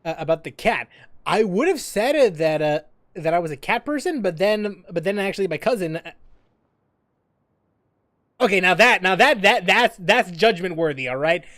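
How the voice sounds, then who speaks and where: A man's voice: sits high, expressive, normal speed, fairly bright tone, no room sound, clean studio sound. A man giving casual gameplay commentary as a streamer, in a joking, rambling manner. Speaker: gaming streamer.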